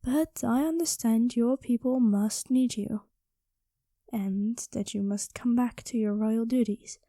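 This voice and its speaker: Dragon queen, ASMR roleplay female performer: coy, possessive, higher-pitched yet velvety and relaxed, slipping into intimate whispers. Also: slow pace, no room sound, studio quality. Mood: tender, possessive. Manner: teasing, coy, intimate, often dropping into whispers. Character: playful possessive dragon queen, affectionate with captive royal.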